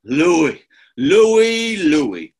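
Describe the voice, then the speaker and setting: A man playing a pompous, gloating gangster boss with a gravelly voice in a radio play. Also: on the high side, sing-song, exaggerated voice. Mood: smug, menacing. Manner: slow, grandiose, theatrical. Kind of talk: 1940s-style radio play acting.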